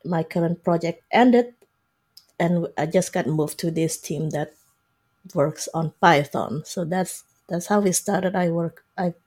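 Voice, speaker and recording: A woman's voice: fairly low pitch, unhurried, a little mellow, breathy edge. A woman in her forties with an Indonesian accent, explaining things casually as an interview guest. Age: 40s. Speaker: interview guest, software developer.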